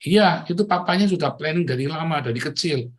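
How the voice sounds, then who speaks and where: A man's voice: on the high side, expressive, fairly quick. A middle-aged man from Tegal preaching in a chatty sermon style, with a Tegal Javanese accent, often addressing listeners as brothers and sisters. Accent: Tegal Javanese (ngapak). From Tegal, Central Java, Indonesia.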